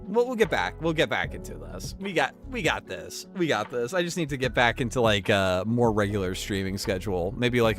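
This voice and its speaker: A man with a stuffed, sniffly nose talks through a casual stream at a middle pitch. He rambles, trips over his words and starts lines again. Soft music plays faintly behind him. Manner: rambling, stammering restarts.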